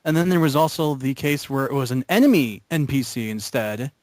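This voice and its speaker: A man's voice: medium pitch, animated, a little fast, darkish tone, dry recording, clean studio sound. A man talking casually about video games as part of a group of friends. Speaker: member of a gaming group.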